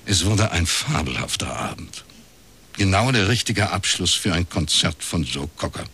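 An actor playing a reserved middle-aged detective in a radio crime drama, speaking in a dry, laconic way. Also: male voice, deep voice, very animated, normal speed, bright, forceful tone, full character voice. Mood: dry, reflective. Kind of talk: first-person radio crime drama narration and dialogue.

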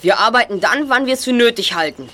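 A woman's voice: a little high, expressive, fast, sharp tone. A woman playing a decisive, self-assured teenage boy detective in an audio drama. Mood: firm, cool. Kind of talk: hobby audio drama acting.

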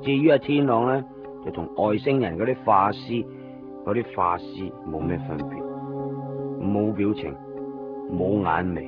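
Actor as animated Hong Kong young man, Cantonese radio drama. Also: on the low side, lightly acted. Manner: colloquial, expressive. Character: excitable, anxious young man who narrates his own strange story. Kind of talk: 1990s Cantonese radio drama acting and narration. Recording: dull 1990s radio recording, loud music behind. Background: music.

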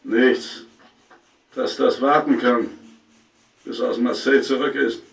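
Creaky, distant male schemer. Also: medium pitch, steady tone, unhurried. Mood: scheming, guarded. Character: calculating, shady schemer, cool and cynical man. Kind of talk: German radio play acting. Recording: distant, echoey pickup with music behind.

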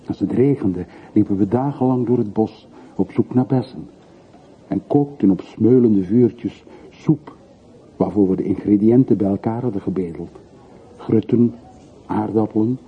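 Through a narrow, muffled old radio sound with faint hiss comes an actor as a low-voiced man. He is wistful yet used to giving orders, speaking formally and reflectively, as in a radio play about coming home. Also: close to an everyday voice. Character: wistful, commanding man revisiting his old hometown. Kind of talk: radio drama acting.

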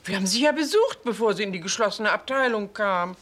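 A woman's voice: mid-range voice, lively intonation, fast talker, fairly bright tone, slight background noise, close to an everyday voice. An actress playing a fussy, tactless mother in a film comedy, prim, rambling and full of insinuation. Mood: prim concern.

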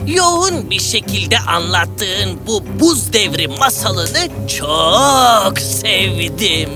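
A woman's voice: mid-range voice, sing-song, drawn-out words, over music. A woman playing a cheeky, impatient talking parrot sidekick, pert and teasing, in a fairy-tale cartoon. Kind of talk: animated fairy tale acting.

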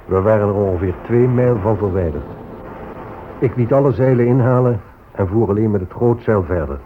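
A man's voice: lowish voice, normal speed, natural voice. Guy doing pompous pious sea explorer, radio play, formal and stately, thin phone-like audio w faint hiss. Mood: matter-of-fact. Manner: stately, declamatory. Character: proud pious explorer admiral reporting to royalty. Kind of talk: radio drama, formal logbook narration. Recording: phone-like old radio recording, faint noise.

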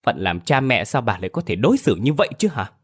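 A man's voice: low-pitched, moderate pace, close to an everyday voice. A man voicing an elderly father who is stern and reproachful yet tender toward his widowed daughter-in-law.

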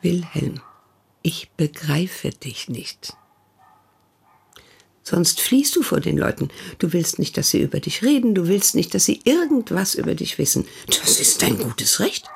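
A woman's voice: on the low side, very animated, unhurried, vocal fry, husky, exaggerated voice. An actress playing an older, protective and prying sister who questions her brother reproachfully in a radio play. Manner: reproachful, probing, insistent.

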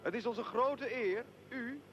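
An actor playing a pompous mayor in a radio drama, declaiming a memorised ceremonial welcome. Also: male voice, extremely high pitch, takes it slowly, a bit bright, muffled recording, slight background noise, full character voice. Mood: ceremonious.

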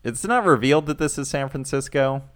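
A man's voice: medium pitch, animated, a little fast. A man co-hosting a casual podcast, recapping a show with wry, sarcastic asides. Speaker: podcast co-host.

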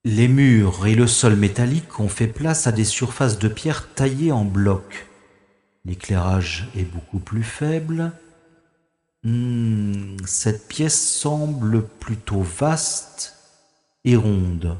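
A man's voice: lowish voice, expressive, takes it slowly, warm tone. A man playing a wry, gallant adventurer in an audio drama, speaking formally and thinking aloud. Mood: puzzled, searching. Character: wry, gallant male adventurer rescuing a young woman. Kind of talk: French-language audio drama acting with first-person narration. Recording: echoey long reverb, music behind.

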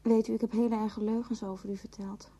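A woman's voice: a little high, level tone, brisk, dark tone, breathy edge. A woman acting in a radio play as a shy, earnest seventeen-year-old girl in love, hesitant and polite. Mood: confessing. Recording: dull, muffled old radio play recording.

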